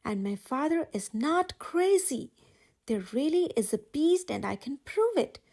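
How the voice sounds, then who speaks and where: A woman's voice: high voice, big pitch swings, unhurried, vocal fry, mellow, pitched above the performer's own voice, heavily acted. A woman voicing a kind, earnest young storybook heroine in an emphatic, heartfelt way. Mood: urgent, pleading.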